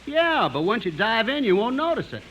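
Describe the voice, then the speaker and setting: An easygoing, cocky pool-shark musician in a 1950s radio comedy. His voice is high and moves around freely. There is clear noise on the vintage recording. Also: very animated, normal speed, fairly bright tone, full character voice. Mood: cocky, matter-of-fact.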